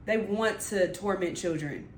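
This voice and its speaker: A woman's voice: medium pitch, unhurried. A woman giving casual, unscripted reaction commentary as a host, blunt and swearing freely. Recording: roomy echo, faint background noise.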